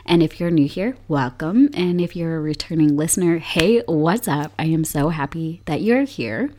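Hoarse low-voiced woman hosting a podcast. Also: moderate pace, a little mellow, a little hiss or hum. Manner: upbeat, chatty, laughs often. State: hoarse from illness. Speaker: podcast host. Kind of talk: solo podcast talk.

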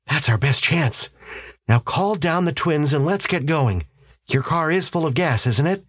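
A man's voice: fairly high pitch, lively intonation, measured pace, a bit bright, no room sound, voice pushed up. A man voicing an urgent, commanding husband in a story, insistent and barking orders as if hunted. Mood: grim, hurried. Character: urgent, commanding husband convinced of alien invasion.

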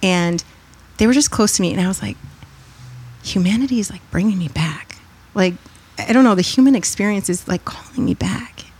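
A woman's voice: mid-range voice, moderate pace, a little mellow, husky. A middle-aged woman from the United States, a podcast guest chatting casually and animatedly, digressing and laughing often. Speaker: podcast guest, author and consultant. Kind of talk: casual interview chat.

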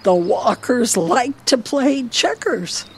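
A woman's voice: a little high, expressive, takes it slowly, darkish tone, slight background noise. A woman playing a feisty elderly wife in a radio play, teasing and needling her husband theatrically. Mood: playful, defiant.

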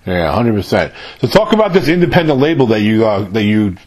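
Male radio host, husky voice, relaxed chatty interviewer. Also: on the low side, brisk. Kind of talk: casual interview chat.

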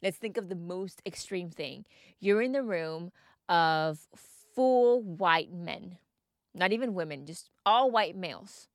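A woman's voice: lowish voice, lively intonation, slow, no echo, studio quality. A woman from the United States hosting a solo mindset podcast, talking the listener through reflective questions in a coaching manner. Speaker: podcast host.

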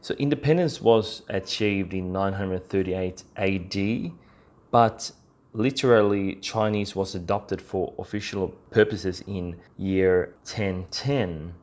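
Guy with a lowish voice doing a casual explainer podcast, chatty, lots of little tag questions. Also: slow, darkish tone. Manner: informal, conversational, peppers talk with "you know" and "right?". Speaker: podcast host.

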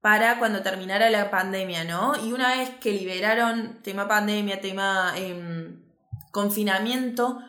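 A woman's voice: mid-range voice, sharp tone, roomy sound. A woman with an Argentine Spanish accent co-hosting a casual finance chat, easygoing and conversational. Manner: easygoing, conversational, quick agreeing interjections. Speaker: co-host of a finance show.

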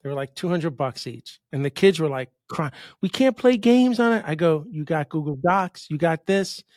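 A man's voice: fairly high pitch, lively intonation, moderate pace, a little mellow, no echo, studio quality. A man in his fifties from New York hosting a casual podcast interview, telling rambling stories and interrupting himself. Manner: rambling, storytelling, self-interrupting. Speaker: podcast host.

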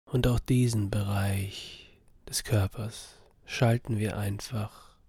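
Slow, low, breathy man guiding sleep meditation. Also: steady tone, dry recording, clean studio sound. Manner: soft, soothing, half-whispered. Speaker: guided meditation narrator. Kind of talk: sleep body-scan meditation, scripted narration.

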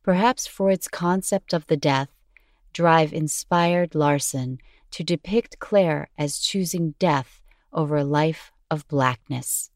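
A woman with a low-pitched voice narrates an educational script. Her reading is measured and articulate, and the sound is dry, with very little room in it. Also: dry recording.

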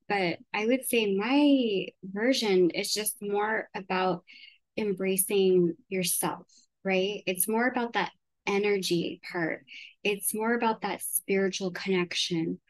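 Woman host, mid pitch, dry close sound, a little raspy and congested, earnest chatty delivery. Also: no room sound, low-quality recording. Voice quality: slightly raspy. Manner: earnest, chatty, often asks "right?". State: congested from allergies. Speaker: podcast host. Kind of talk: casual solo podcast talk.

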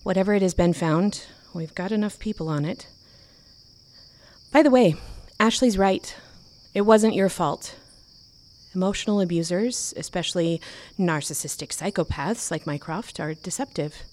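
Woman playing a caring advocate pal in an audio drama, steady, soft-edged low voice. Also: moderate pace, lightly acted. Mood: reassuring. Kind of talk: naturalistic audio drama acting.

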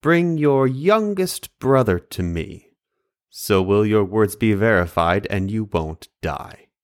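A stern man of power lays down his conditions in slow, deliberate words, read by a male narrator. His voice moves widely between low warnings and raised commands. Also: lively intonation. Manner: measured and commanding.

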